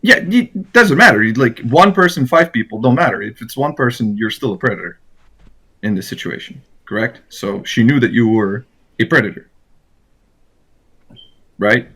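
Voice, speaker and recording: A man's voice: medium pitch, lively intonation, normal speed, long pauses, pushed and bright, breathy edge. A man questioning someone on a recorded call in an insistent, confrontational, off-the-cuff way. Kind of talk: spontaneous interrogation on a call.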